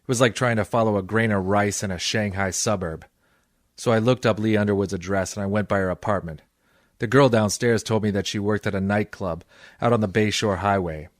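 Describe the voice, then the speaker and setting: A man playing a wisecracking, cynical hard-boiled private detective, sardonic and deadpan in old-time radio style. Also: on the low side, dry recording, clean studio sound, close to an everyday voice.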